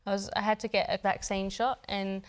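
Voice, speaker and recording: A woman's voice: mid-range voice, flat delivery, brisk, clear, resonant tone. A woman, a footballer guest chatting casually in an interview, hesitant with many fillers and light laughs. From Australia.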